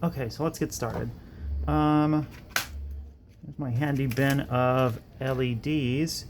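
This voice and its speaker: Guy with a fry-heavy voice rambling thru a diy electronics build, kinda self-deprecating, some bg noise. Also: medium pitch, steady tone, slow. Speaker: electronics hobbyist host. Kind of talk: casual workbench build narration.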